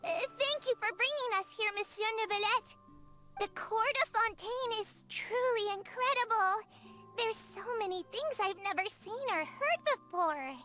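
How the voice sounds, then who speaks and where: Young female game creature: high, childlike, innocent, hopeful, politely earnest. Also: animated, unhurried, sharp tone, heavily acted. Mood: grateful, earnest. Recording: music behind the voice.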